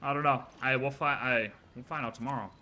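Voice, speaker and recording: A man's voice: mid-range voice, a little fast, sharp tone. A man livestreaming a video game, chatting casually and thinking aloud in slangy, offhand asides.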